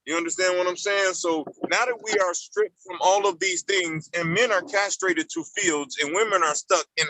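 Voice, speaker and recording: A man's voice: on the high side, pushed and bright, no echo, poor audio. A man of about fifty on a discussion panel, speaking in a preachy way and often asking if listeners understand him. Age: around 50. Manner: preachy, repeats "you understand what I'm saying".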